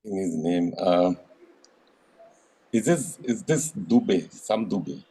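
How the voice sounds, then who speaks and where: A man's voice: low-pitched, animated, slow pace, mellow, poor audio. A man, a quiz player thinking aloud hesitantly over a video call. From India.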